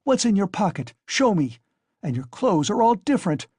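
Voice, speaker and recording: A man's voice: high-pitched, very animated, moderate pace, a little mellow, breathy edge, no echo, voice pushed up, exaggerated voice. A man voicing a desperate, frantic poor mother who screams accusations. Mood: frantic screaming.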